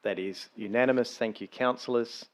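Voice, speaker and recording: An Australian man chairing a council meeting as mayor, measured, procedural and polite. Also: mid-range voice, steady tone.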